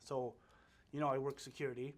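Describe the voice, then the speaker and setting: A man guesting on an interview, telling a story in a relaxed way and acting out the people in it. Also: mid-range voice, brisk. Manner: animated, acts out the other people in his stories; relaxed and laid-back, laughs often. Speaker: guest, film actor.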